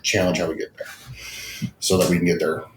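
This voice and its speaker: Deep-voiced man in an echoey room. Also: little pitch movement, brisk. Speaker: business team leader as guest expert. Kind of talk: casual explanatory business discussion. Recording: echoey room, faint background noise.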